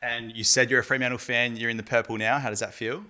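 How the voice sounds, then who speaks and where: A man's voice: mid-range voice, level tone, fast, sharp tone. A man interviewing a newly drafted player for the club's channel, asking friendly, upbeat questions. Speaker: club interviewer.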